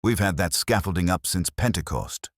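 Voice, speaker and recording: An indignant parish committee woman, voiced by a male narrator in a mystery story. She is forceful, demanding and snappish, in a husky voice with swooping pitch and a dry, close sound. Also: lively intonation, normal speed, dry recording.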